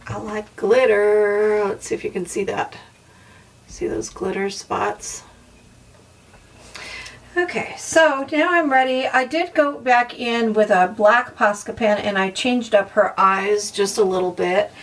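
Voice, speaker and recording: A woman with a slightly hoarse voice, narrating a casual art tutorial softly and unhurriedly, with frequent ums and pauses. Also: mid-range voice, far from the mic, a little hiss or hum, poor audio.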